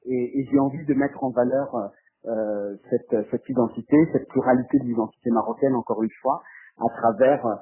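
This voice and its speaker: A man's voice: medium pitch, steady tone, moderate pace, breathy edge. A man from France, giving spontaneous interview answers as a filmmaker, hesitant and often repeating words as he searches for phrasing. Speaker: documentary filmmaker being interviewed. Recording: muffled, phone-like narrow band.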